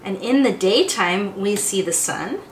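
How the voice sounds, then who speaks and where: Young woman, children's storytime read-aloud, slow pace, expressive and exclamatory, roomy echo. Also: mid-range voice. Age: young adult. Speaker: children's storytime teacher and host. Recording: roomy echo, faint background noise.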